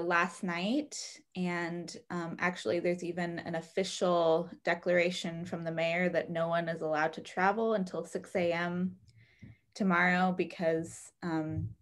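A woman with an American accent and a slightly low voice, speaking on a video call from home. She shares in a gentle, reflective and expressive way, as in a conversational group check-in. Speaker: participant in an online women's group. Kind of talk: personal check-in sharing, conversational. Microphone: computer mic on video call.

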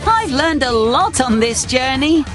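Lively, cheerful young boy steam engine. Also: high-pitched, measured pace, over music. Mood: reflective. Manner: earnest, expressive storytelling to children. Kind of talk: children's cartoon game voice acting.

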